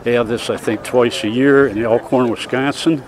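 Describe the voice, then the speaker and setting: A man's voice: medium pitch, fairly even intonation, normal speed, a little mellow. An older man giving rambling, plain-spoken, casual commentary as he walks around with a camera. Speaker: vlogger. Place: busy outdoor fairground and market buildings. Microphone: handheld camera mic, held by speaker. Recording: windy, noisy outdoor camcorder audio.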